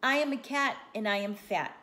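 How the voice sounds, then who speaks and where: A woman's voice: a little high, moderate pace, pushed and bright. A fat, lazy storybook cat, acted out by a woman reader, smug and dismissive. It sounds stubborn and utterly unwilling to budge.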